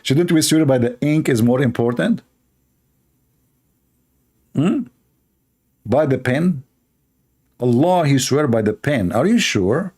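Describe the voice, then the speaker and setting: A mid-pitched man whose first language is Arabic speaks in English. He delivers a scornful running commentary with a lot of sarcasm. His pitch swings up and down for theatrical effect. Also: lively intonation, takes it slowly, pauses often, darkish tone. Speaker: religious debate streamer. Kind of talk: mocking live commentary.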